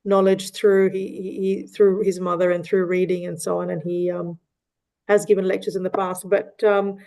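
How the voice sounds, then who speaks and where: Woman, unhurried community speaker, mellow flat delivery, frequent restarts. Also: lowish voice, vocal fry. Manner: hesitant, many fillers and restarts. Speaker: mosque community member. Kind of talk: casual discussion. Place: online meeting.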